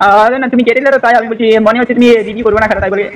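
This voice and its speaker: A man's voice: very high voice, fairly even intonation, rapid-fire, fairly bright tone. A young man making an improvised prank phone call, speaking hesitantly and repeating himself. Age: young adult. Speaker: prank caller. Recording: distant mic, faint background noise.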